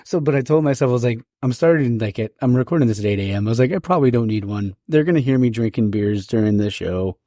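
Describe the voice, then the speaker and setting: A man's voice: mid-range voice, lively intonation, quick pace, few pauses, mellow, dry recording, studio quality. A man hosting a podcast, rambling casually with lots of fillers. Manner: rambling, many fillers like "like" and "dude". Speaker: podcast host. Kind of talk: casual chat.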